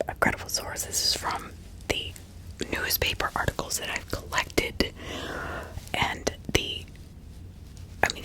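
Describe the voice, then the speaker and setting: A woman whispering ASMR true-crime commentary in a breathy, unvoiced, conversational way with emphatic repetition. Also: rough recording. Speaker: ASMR host.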